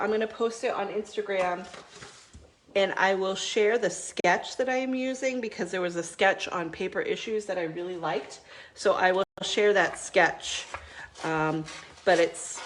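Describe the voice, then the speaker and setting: A woman's voice: medium pitch, a little hiss or hum. Stuffy-nosed woman chatting while crafting. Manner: chatty, talks while working, many ums. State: stuffy, recovering from a cold. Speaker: craft video host. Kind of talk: casual live crafting chat.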